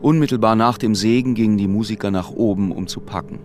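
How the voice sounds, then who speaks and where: A man acts as a grave storyteller narrating a literary radio drama. His low voice is measured and sombre, with music clearly underneath. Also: darkish tone, close to an everyday voice.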